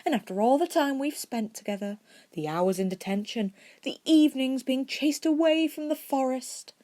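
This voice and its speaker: A cheeky teenage prankster boy, voiced by a woman reading a story, talks in a teasing, persuasive way. The pitch is raised and playful. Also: expressive, normal speed, mellow. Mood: playful pleading.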